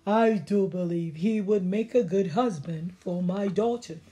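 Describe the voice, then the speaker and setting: A woman's voice: mid-range voice, a little slow, dark tone, pitched below the performer's own voice, close to an everyday voice, rough recording. A woman doing a grand old king. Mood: scheming.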